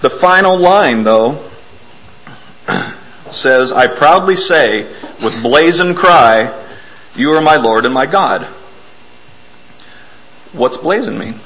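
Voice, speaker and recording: A man preaching an informal, self-deprecating sermon in a church, hoarse from a bug and often clearing his throat. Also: mid-range voice, expressive, slow, long pauses, a bit bright, low-quality recording. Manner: folksy, self-deprecating, explains hymn words. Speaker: lay preacher.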